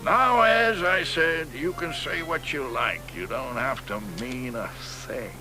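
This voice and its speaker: An actor playing a frail, forgetful elderly poet who frets and drifts into whispers. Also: male voice, medium pitch, takes it slowly, bright, forceful tone, a little hiss or hum, rough recording. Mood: musing. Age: older. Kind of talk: radio drama acting.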